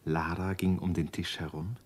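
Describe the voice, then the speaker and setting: A man's voice: sits low, flat delivery, moderate pace. A man narrating a German radio play as a grave literary storyteller. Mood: grave, suspenseful. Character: grave male storyteller of a dramatic novel.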